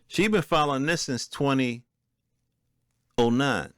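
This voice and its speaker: A man's voice: medium pitch, measured pace, fairly bright tone, no echo, studio quality. An American man hosting a podcast, commenting in an informal, opinionated, conversational way and speaking directly to his listeners. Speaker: podcast host. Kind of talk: casual solo commentary, reading and reacting to news articles.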